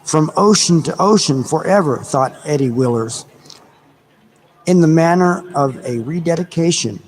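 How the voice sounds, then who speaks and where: A man reading a novel aloud in a dramatic, deliberate, measured style with pauses. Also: medium pitch. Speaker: audiobook reader. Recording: noisy recording with background ambience. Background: background ambience sounds.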